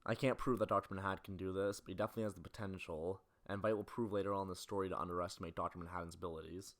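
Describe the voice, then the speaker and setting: Deep-voiced, quick-talking male video essayist. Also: fairly even intonation. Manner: critical, opinionated. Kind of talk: scripted film commentary.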